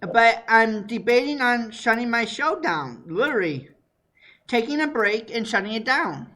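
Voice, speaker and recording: A man with a high voice hosting a podcast, talking in an excitable, casual way and laughing easily. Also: a little slow. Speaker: podcast host. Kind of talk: casual chat.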